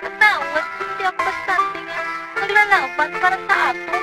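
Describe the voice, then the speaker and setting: A woman's voice: very high-pitched, moderate pace, rough recording. A young woman playing a regretful, loving mother in a student radio drama. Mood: regretful, tender. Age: young adult. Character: regretful, loving mother finding her courage. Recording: loud music under the voice.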